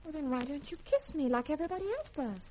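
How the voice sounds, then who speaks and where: High-voiced naive young woman. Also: big pitch swings, fast talker, warm tone. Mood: wounded, coquettish. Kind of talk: 1950s-style radio drama acting. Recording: noisy vintage radio drama recording.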